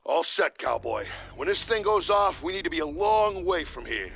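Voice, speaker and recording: A man voicing a sardonic, trigger-happy outlaw marine in a video game, with a gravelly drawl. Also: on the high side, sing-song, moderate pace, background noise. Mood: cocky, relishing. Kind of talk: video game cutscene acting.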